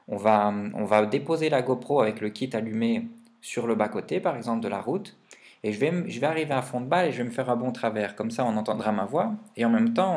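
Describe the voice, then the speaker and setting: A man's voice: mid-range voice, a little mellow. A man talking informally and with plenty of slang as he explains gear in a casual vlog review. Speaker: vlogger reviewing motorbike and quad gear.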